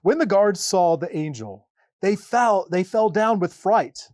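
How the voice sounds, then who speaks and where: A man reading a children's Bible story aloud as a priest, with dramatic, deliberate phrasing and pauses. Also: high-pitched, lively intonation, a little mellow, dry recording, clean studio sound.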